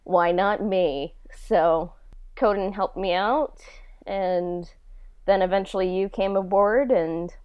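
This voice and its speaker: A woman talking casually and haltingly in a podcast interview, as the show's creator answering questions. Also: medium pitch, fairly even intonation, smooth, no echo, dull audio. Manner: halting, conversational, frequent "like".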